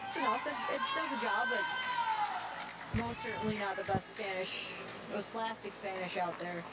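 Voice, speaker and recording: A woman co-commentating a hockey game in a light, playful way, with quick agreeing replies. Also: medium pitch, animated, over loud music, over a noisy crowd, rough recording. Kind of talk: casual color commentary. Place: ice rink. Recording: loud rink ambience behind.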